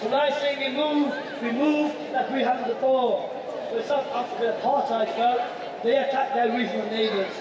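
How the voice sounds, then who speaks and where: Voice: medium pitch, steady tone, moderate pace, dull audio. A protest speaker giving a declamatory, emphatic political speech with rhetorical repetition. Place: outdoors at a rally. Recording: distant, echoing, noisy outdoor crowd.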